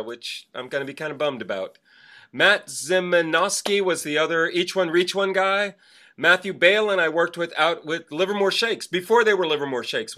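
Man, podcast co-host, bright tense voice, fairly high, animated and swearing. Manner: animated, swearing, trailing off with fillers. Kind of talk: casual chat listing birthdays.

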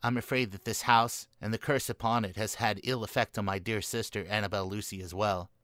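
A man's voice: on the low side, steady tone, fairly quick, fairly bright tone, dry recording, natural voice. An actor playing an anxious, doom-laden young male heir in a melodramatic comic gothic radio play, fretful in manner. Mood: gloomy, dramatic.

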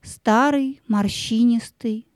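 Mellow, higher-voiced woman storyteller. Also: slow pace, no room sound, clean studio sound. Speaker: narrator. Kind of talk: dramatic storybook narration.

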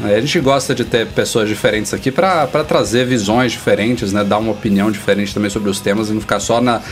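A man's voice: fairly low pitch, quick pace. A man hosting a tech podcast in a casual, upbeat, chatty way. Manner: upbeat, chatty, acts out quoted talk. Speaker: podcast host.

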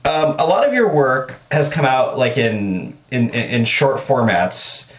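A male podcast host asks rambling questions, stumbling and restarting as he goes. His voice sits at a middle pitch. The room around him is echoey. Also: animated. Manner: halting questions, many restarts. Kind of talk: casual interview.

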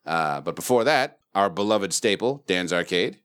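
A man's voice: low-pitched, expressive, normal speed, a bit bright, smooth. A young adult man hosting a podcast in casual chat, laid-back and swearing freely. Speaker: podcast host.

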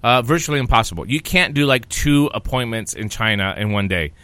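A man's voice: medium pitch, a little fast, pushed and bright, no room sound. A man of Greek origin hosting a podcast, talking casually with lots of fillers and restarts. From Greece. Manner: rambling, lots of fillers and repeats. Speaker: podcast host.

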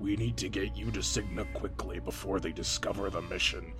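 Sci-fi general male actor: deep, commanding, grave authority for audio drama, score clearly underneath. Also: fairly even intonation, fairly quick, natural voice. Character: stern, commanding male general briefing troops. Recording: loud music underneath.

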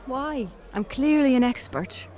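A woman's voice: sits high, expressive, a little mellow. An actress with an Irish accent playing a frank, weary woman on a first date in an audio drama. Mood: resigned. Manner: frank, dry, brisk. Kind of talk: naturalistic audio drama dialogue.

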